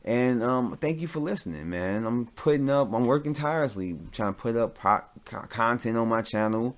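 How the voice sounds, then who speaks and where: A man's voice: medium pitch, lively intonation, normal speed. A man hosting a podcast alone, talking casually off the cuff, rambling and repeating his points for emphasis. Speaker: solo podcast host.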